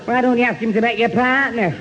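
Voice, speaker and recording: An actor playing a dim, flustered young sidekick who stammers and stumbles through a radio sitcom. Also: male voice, very high voice, quick pace, fairly bright tone. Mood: exasperated. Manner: stammers and stumbles over words. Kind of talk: 1970 radio sitcom acting. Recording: muffled, very noisy old radio recording.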